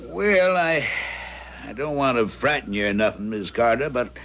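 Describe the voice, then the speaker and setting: A man's voice: medium pitch, expressive, moderate pace, fairly bright tone, poor audio. An actor playing a polite, concerned small-town man in a 1970s radio drama, hesitant and plain-spoken.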